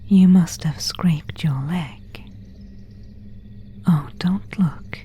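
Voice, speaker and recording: A woman voicing an elegant vampire countess in a whispered ASMR roleplay, courteous and quietly predatory, with formal, old-fashioned diction. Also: on the low side, very slow, exaggerated voice. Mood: gently solicitous. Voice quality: breathy whisper.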